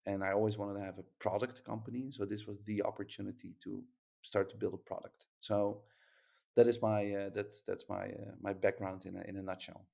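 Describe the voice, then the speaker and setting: Gravelly Dutch man, flat low voice. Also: brisk. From Netherlands. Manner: rambling, many fillers and restarts. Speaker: startup founder guest. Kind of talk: casual podcast conversation.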